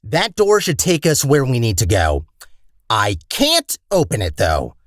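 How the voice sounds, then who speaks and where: A man's voice: mid-range voice, huge pitch swings, a little slow, fairly bright tone, no room sound, studio quality. A man voicing a talkative robot core in a video game, a petty, scheming villain with a sarcastic, theatrical and condescending manner. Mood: condescending, chatty. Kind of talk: video game character acting.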